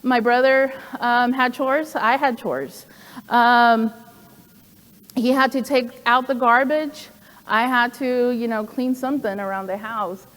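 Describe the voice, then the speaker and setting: A woman's voice: a little high, steady tone, slow, fairly bright tone. A woman in her forties giving a personal presentation to an audience. She speaks conversationally, with frequent "um" fillers and asides. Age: 40s. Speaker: program director giving a talk. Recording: long hall reverb.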